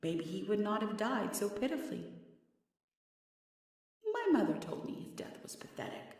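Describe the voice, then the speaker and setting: A woman's voice: mid-range voice, sing-song, moderate pace, a little mellow, lightly acted. A woman playing a haughty, sadistic half-demon, half-witch villainess in an ASMR fantasy roleplay, mocking and imperious with theatrical menace. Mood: scornful. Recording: very echoey, slightly distant.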